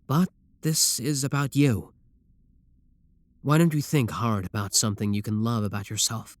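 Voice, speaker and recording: Guy doing a yandere brother for asmr, normal pitch, dry close sound, supportive but obsessed, says creepy stuff matter of factly. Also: steady tone, moderate pace, no room sound, lightly acted. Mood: reassuring. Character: obsessive, adoring yandere sibling kidnapper, calmly supportive. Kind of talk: scripted ASMR roleplay addressed to the listener.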